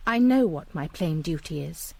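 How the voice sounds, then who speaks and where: A woman's voice: sits low, expressive, moderate pace, gravelly, warm tone, heavily acted. An actress playing a conscientious, troubled woman who narrates a radio drama, with a British accent and precise, well-spoken delivery. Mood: resigned. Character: conscientious, troubled middle-class woman, narrator and reluctant confidante.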